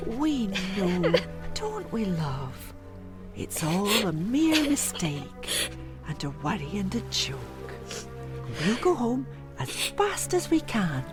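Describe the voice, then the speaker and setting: A kindly, fretful housekeeper in a gothic radio play. Her voice leaps dramatically up and down while her pace stays slow. Music plays clearly behind her. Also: mid-range voice, very animated. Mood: distressed. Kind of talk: audio drama acting, period literary dialogue.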